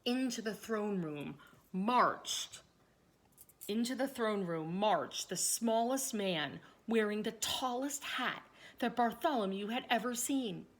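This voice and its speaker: A woman reading a children's story aloud, expressively and deliberately, pausing to address young listeners. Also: fairly high pitch. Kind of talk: read-aloud narration with questions to young listeners.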